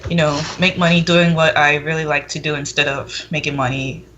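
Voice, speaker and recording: Giggly young woman, bright but muffled recording. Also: sits low, steady tone, a little fast. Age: twenties. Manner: giggly, open and frank. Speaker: adult film performer guest being interviewed. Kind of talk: casual, candid interview chat. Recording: dull, muffled sound with background noise.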